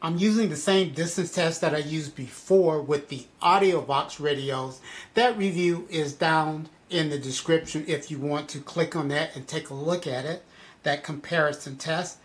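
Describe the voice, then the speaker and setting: Higher-pitched man giving a casual review. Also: moderate pace. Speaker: product reviewer.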